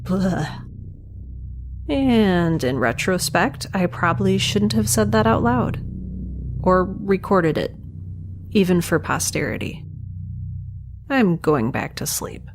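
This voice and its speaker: A woman's voice: on the low side, sing-song, slow pace, long pauses, background noise, full character voice. A young woman playing a wry, stranded space scientist, rambling self-deprecatingly through a first-person log in an audio drama. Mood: musing, wry.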